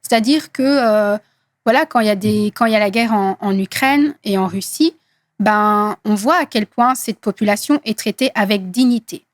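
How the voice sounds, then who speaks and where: A woman in her thirties from Congo whose first language is Swahili, speaking earnestly in an interview with many hesitant pauses. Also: medium pitch, no room sound. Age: 30s. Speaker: guest activist. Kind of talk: interview conversation.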